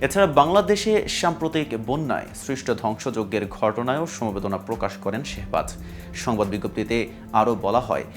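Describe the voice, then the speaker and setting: Bangladeshi newsreader, man, music behind. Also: mid-range voice. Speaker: news presenter. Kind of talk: scripted news narration. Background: music bed.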